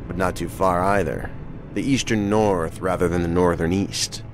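An actor playing a seasoned, thoughtful investigator in an audio drama, measured and terse. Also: male voice, sits low, steady tone, faint music behind.

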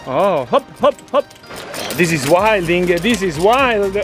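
A man's voice: sits high, very animated, slow. A middle-aged man, coaching swimmers outdoors with teasing, upbeat commentary. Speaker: swim coach. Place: outdoor training area by a pool. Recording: outdoor with music behind.

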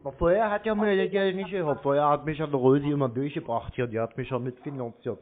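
A man's voice: a little high, fast, natural voice. A man voicing a naive, chatty, henpecked husband with a childlike love of model trains, rambling guilelessly on a prank call. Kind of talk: prank call to phone scammers. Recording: muffled narrow-band phone call.

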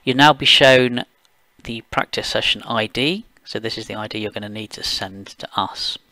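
A man from the UK talking through a software screencast step by step, plain and explanatory, with occasional fillers. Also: lowish voice, normal speed, no room sound. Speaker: software engineer giving a tutorial. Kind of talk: screencast walkthrough voice-over.

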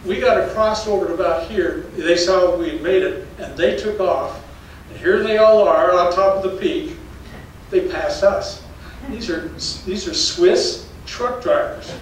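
A man's voice: high-pitched, unhurried. Older man lecturing in an echoey hall. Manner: folksy, anecdotal, chuckles between stories. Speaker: geology professor giving a public lecture. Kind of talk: storytelling slide talk. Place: darkened lecture hall with audience. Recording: very echoey hall, distant mic, faint noise.

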